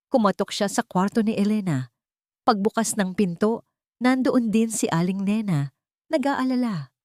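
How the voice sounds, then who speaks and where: A woman's voice: mid-range voice, darkish tone, no echo, studio quality. A woman narrating a scripted dramatic story in an earnest, suspenseful storytelling manner. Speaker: audiobook narrator.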